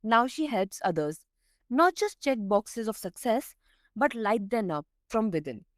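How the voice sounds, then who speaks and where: A woman hosts a podcast in an upbeat, polished manner. Her voice sits a little high and swings in pitch. The sound is dry and close. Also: very animated, unhurried, no room sound. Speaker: podcast host. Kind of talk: scripted show introduction and interview questions.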